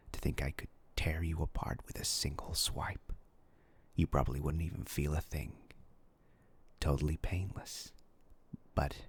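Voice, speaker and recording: A man playing a sinister, hungry demon in a human body for a dark fantasy roleplay, speaking with slow, mock-polite menace in a gravelly, husky, breathy voice. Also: extremely low pitch, heavily acted. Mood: gloating.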